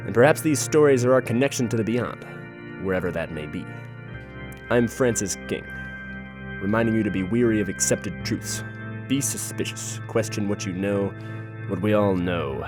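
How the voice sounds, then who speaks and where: A man's voice: lowish voice, close to an everyday voice. A man playing a solemn, suspicious host of a conspiracy-mystery show, delivering a scripted monologue.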